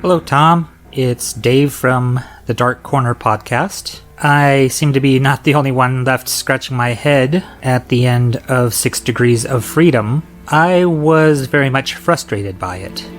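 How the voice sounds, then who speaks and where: A man speaks slowly and deliberately in a mid-pitched voice, delivering a prepared review. His phrasing is articulate and measured. Faint music plays behind him. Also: fairly bright tone. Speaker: podcaster sending listener feedback.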